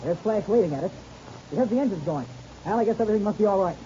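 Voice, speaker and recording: An actor playing an eager, confident young pilot hero with boyish slang in a 1930s radio adventure serial. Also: male voice, high-pitched, expressive, very fast, warm tone. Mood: assured. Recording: dull, noisy old radio recording.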